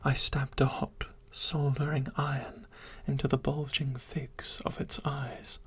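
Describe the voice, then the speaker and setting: A narrator reading a dark story in a soft, steady whisper. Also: mid-range voice, measured pace. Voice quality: very breathy.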